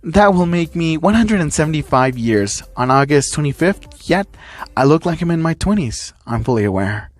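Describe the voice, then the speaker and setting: A man's voice: on the high side, expressive, moderate pace, natural voice. Man voicing a weary young heroine. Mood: resigned explaining. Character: young woman hero, secretive and weary, ageless.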